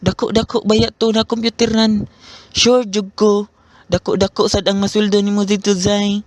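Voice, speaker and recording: A man's voice: sits high, level tone, normal speed, no echo, natural voice. A man with a Cebuano accent playing a playful, teasing best friend in a student radio drama. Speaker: friend Bentong.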